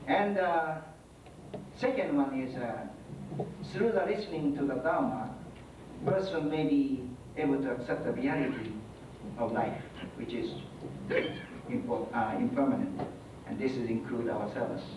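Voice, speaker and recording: A man giving a conference talk as a Buddhist priest, halting and full of fillers. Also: medium pitch, dull audio. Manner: halting, many fillers, non-native phrasing. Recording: echoey hall from far off, noisy.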